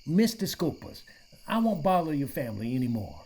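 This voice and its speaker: An actor playing a mellow, apologetic aging hippie in a radio play, with hesitant, slangy speech. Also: male voice, a little high, sing-song, a little fast. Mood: apologetic, polite. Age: middle-aged.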